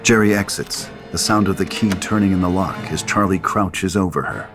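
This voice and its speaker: Casting: male narrator for stage directions, deep register, dramatic storytelling over a clear musical score. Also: moderate pace, music in the background.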